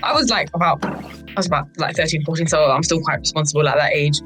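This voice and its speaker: A woman's voice: low voice, fast, faint music behind, poor audio. A young British woman telling personal anecdotes in a casual, chatty way, peppered with "like" and "right?". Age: young adult. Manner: animated, quotes people in acted voices, frequent "like" and "right?". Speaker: youth board podcast speaker.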